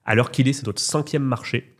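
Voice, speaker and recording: A man's voice: mid-range voice, a little fast, breathy edge. A man who represents a company in France, explaining its markets with confidence in a business interview.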